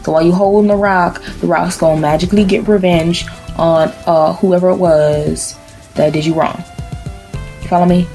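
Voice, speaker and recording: Low-voiced deadpan woman storyteller over music. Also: moderate pace. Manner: deadpan, mock-serious, sassy asides. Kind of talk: comic improvised bedtime storytelling. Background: background music.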